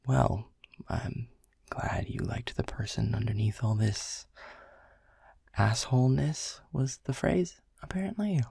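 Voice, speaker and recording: A man playing a world-weary, grumpy male middle manager who talks in a hushed, breathy, sardonic way and swears casually in an audio roleplay. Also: mid-range voice, dry recording, clean studio sound, heavily acted. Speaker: boss.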